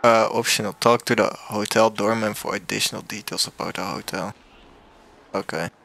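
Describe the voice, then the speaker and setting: A male gaming streamer with a heavily creaky, bright voice reacts informally in slang. He talks slowly and casually, with faint music behind. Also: lowish voice, steady tone. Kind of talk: casual playthrough commentary.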